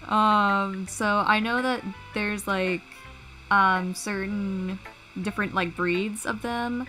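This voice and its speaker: Bright-voiced woman, slow and hesitant. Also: mid-range voice, gravelly, poor audio. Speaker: livestream collaborator. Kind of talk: casual livestream chat. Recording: music behind the voice.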